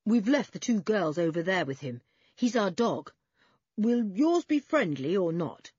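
Lady doing a cheery older schoolboy, brisk and in charge, storybook style, bit husky, dull roomy audio. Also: mid-range voice, big pitch swings, darkish tone, voice pushed up. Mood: friendly. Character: confident older schoolboy, cheerful, sensible leader.

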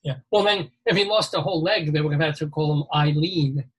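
A man's voice: fairly high pitch, a little fast, a little hiss or hum. A middle-aged man hosting a weekly radio show, reading odd news items and cracking jokes. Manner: jokey, reads news items with quips. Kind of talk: comedic news chat.

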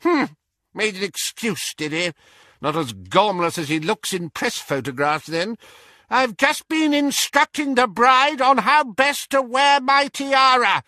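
A man's voice: very high voice, expressive, measured pace, sharp tone, no echo, voice pushed up, exaggerated voice. A man voicing a cantankerous, snobbish, very old witch in an audiobook, barking bluntly critical remarks. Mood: scornful. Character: cantankerous, imperious, snobbish 107-year-old great-aunt witch.

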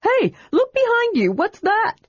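A woman doing a high, excitable young kender. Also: normal speed, breathy edge, no echo, highs cut off, exaggerated voice. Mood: indignant. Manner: high, eager, indignant outbursts. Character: excitable young male kender, chatty and indignant.

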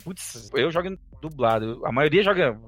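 A man's voice: on the high side, expressive, moderate pace, no echo. A man with a Brazilian Portuguese accent chatting casually about video games, often stumbling over and repeating his words. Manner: hesitant, repeats and restarts words, laughs often. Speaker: gaming podcast co-host. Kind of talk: casual chat.

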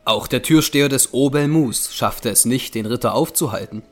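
A man's voice: mid-range voice, moderate pace. A man giving dramatic scripted narration as the storyteller of an audio drama. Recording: music bed behind narration.

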